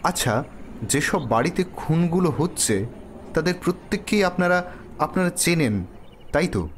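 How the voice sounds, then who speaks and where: A man playing a family friend who asks probing, measured questions in a suspense audio drama. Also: on the high side, very animated, slight background noise. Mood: probing, thoughtful. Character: male family friend questioning about neighbourhood murders. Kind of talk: Bengali audio story acting.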